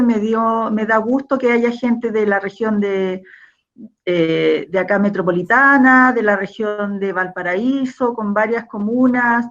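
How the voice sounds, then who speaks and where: A Chilean woman, a government cultural officer, giving a fraternal welcome speech on a video call. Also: on the high side. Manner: warm, fraternal, with restarts. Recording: dull video-call audio.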